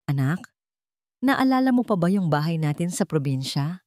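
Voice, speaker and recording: A woman's voice: on the low side, sing-song, normal speed, a little mellow, no echo. An anguished, loving mother from a melodramatic story, acted by a woman narrator. She speaks emotionally and pleadingly, in a very dry recording. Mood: tender.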